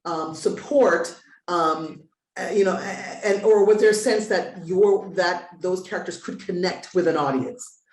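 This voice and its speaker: A woman's voice: lowish voice, a little slow. A woman moderating a panel conversationally, feeling her way thoughtfully through her phrases. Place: virtual panel, echoey room. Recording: roomy, distant computer microphone.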